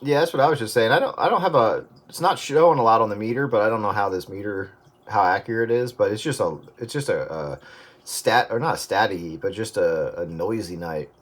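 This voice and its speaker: A man's voice: fairly low pitch, brisk. A man in casual CB radio chat, talking in a folksy way with radio jargon over very noisy, clicking radio audio.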